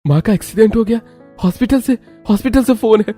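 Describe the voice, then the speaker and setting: A man's voice: high voice, fast talker, dark tone, faint music behind, voice pushed up. A man voicing a panicked young daughter-in-law in a cartoon story.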